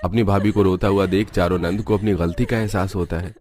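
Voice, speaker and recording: Low-pitched man narrating a story. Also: steady tone, fairly quick, darkish tone, a little hiss or hum. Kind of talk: scripted cartoon story narration.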